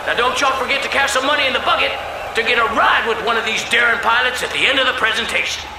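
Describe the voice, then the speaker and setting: High-pitched, showy flying circus announcer. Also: male voice, fast, noisy background. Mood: teasing hype. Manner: booming, showy announcer delivery. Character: excited male flying circus master of ceremonies, showman. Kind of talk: 1920s barker-style announcing in a radio play. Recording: roomy distant sound, music behind.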